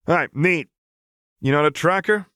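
A man's voice: fairly high pitch, normal speed, a bit bright, clear, resonant tone, dry recording, clean studio sound, pitched above the performer's own voice, close to an everyday voice. A man voicing a brash, crass young woman enforcer in an audiobook, with blunt, sarcastic, slangy delivery. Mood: sardonic.

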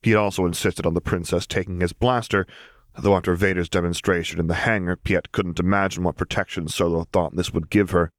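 A man's voice: sits low, steady tone, fairly quick, no room sound, clean studio sound. A man reading scripted fiction aloud as an audiobook narrator.

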